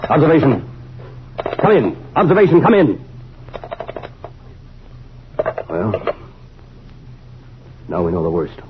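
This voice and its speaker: A weary, commanding army general in an old science fiction radio play. He is clipped and authoritative, with very dynamic intonation. The sound is dull and clearly noisy, like an aged broadcast recording. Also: mid-range voice, huge pitch swings, unhurried. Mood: grim. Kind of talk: 1950s science fiction radio drama acting.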